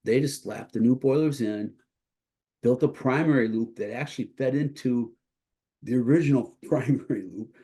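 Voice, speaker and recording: A man giving an informal instructional presentation as a college instructor, explaining haltingly with a congested, slightly husky voice that is starting to give out. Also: medium pitch, mellow. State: congested, starting to lose his voice.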